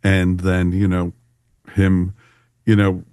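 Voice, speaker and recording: A man's voice: low-pitched, completely flat delivery, takes it slowly, mellow, clean tone, no echo, clean studio sound. A man hosting a casual panel review chat, talking conversationally. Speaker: podcast host.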